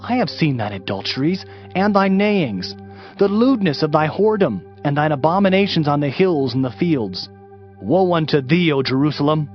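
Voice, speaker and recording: Male actor as impassioned lamenting prophet, highly animated pitch, solemn declamation, scripture drama, slightly dull recording. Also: moderate pace, lightly acted. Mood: grave warning. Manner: solemn, declamatory, archaic phrasing. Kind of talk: dramatized Bible reading. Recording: dull audiobook sound with music underneath.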